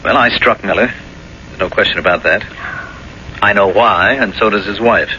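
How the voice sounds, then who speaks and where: An actor playing a steadfast, loving husband in a 1970s radio drama, earnest, reassuring and resolute. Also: male voice, lowish voice, normal speed, sharp tone. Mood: earnest, firm. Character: steadfast, loving husband, principled professional man facing disgrace. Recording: dull, noisy old radio broadcast recording.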